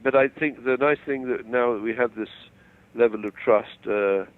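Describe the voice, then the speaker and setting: An older man explaining his views over the phone, self-assured but halting and digressive. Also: mid-range voice. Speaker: phone-in guest. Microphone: telephone. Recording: tinny narrow-band phone call.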